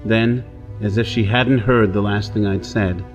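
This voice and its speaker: An actor playing a lovestruck outlaw adventurer from Earth in a pulp radio drama, earnest and dramatic. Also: male voice, lowish voice, fairly even intonation, unhurried, faint music behind, natural voice. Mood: tense, reflective. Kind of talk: first-person pulp radio drama narration and dialogue.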